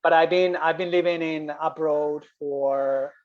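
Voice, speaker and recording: Spanish-accented man, flat level voice. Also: a little high, a little slow, muffled recording. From Spain. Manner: repeats and restarts phrases, says "you know" often. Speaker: guest, yoga business coach. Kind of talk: interview, telling his story.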